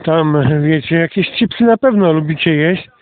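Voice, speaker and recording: A man's voice: fairly high pitch, moderate pace, no echo. A man giving casual commentary while out on a walk, recorded outdoors as a vlogger. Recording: tinny phone-like sound with some noise.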